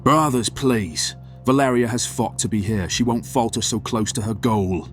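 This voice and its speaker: A man playing a self-interested vampire councilman who speaks in a measured, formal, persuasive way. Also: mid-range voice, natural voice. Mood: smoothly persuasive. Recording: dry recording over music.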